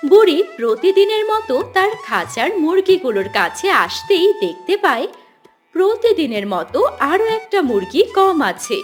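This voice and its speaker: A woman's voice: very high-pitched, sing-song, normal speed, soft background music. A woman narrating a scripted children's cartoon story as its storyteller.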